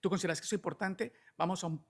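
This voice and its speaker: A man's voice: high-pitched, racing. A middle-aged man with a Colombian accent, explaining things conversationally as a guest and often adding little checking questions. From Cali, Colombia. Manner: frequent checking tags like "¿no?". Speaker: podcast guest, alternative medicine doctor.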